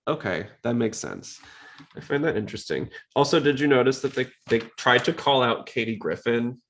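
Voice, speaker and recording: A man's voice: mid-range voice, animated, moderate pace, fairly bright tone. A man hosting a podcast in a casual, inquisitive, conversational way. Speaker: podcast host.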